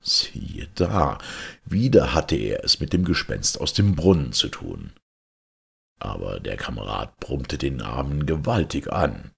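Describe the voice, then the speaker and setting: Deep, husky male storyteller. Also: very animated, measured pace, a bit bright. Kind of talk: expressive fairy-tale narration.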